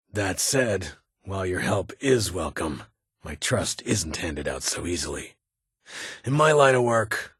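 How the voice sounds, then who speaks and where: Husky, stern male vault security chief. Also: fairly low pitch, no room sound, studio quality. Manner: formal, clipped, measured phrasing. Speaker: video game voice actor. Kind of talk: scripted game character dialogue.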